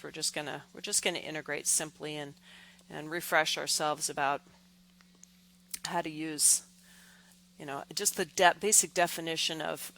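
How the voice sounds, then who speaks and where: A woman explaining as an engineering instructor, step by step, in deliberate, halting phrases with repeated words. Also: deep voice, bright, forceful tone, low-quality recording.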